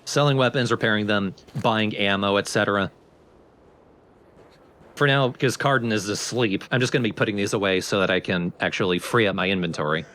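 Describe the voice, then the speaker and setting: A man's voice: fairly low pitch, a little fast, fairly bright tone. A man giving casual, explanatory commentary on a video game as he plays. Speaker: let's play commentator.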